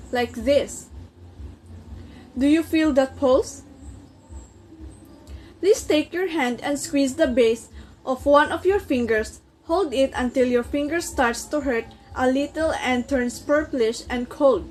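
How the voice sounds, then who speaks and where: A woman acts out an earnest circulatory system pleading its case in amateur reader's theater. The voice is high and demonstrative, instructing formally, with some noise behind it. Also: takes it slowly, darkish tone.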